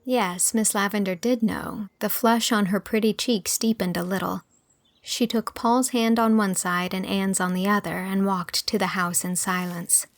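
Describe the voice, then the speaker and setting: A woman's voice: mid-range voice, fairly even intonation, unhurried, no echo. A woman narrator reading scripted fiction as an audiobook.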